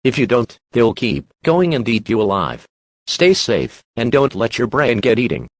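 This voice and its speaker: A man reading a scripted emergency alert broadcast in a steady, formal announcing manner. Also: mid-range voice, normal speed, dry recording, clean studio sound. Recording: music playing behind.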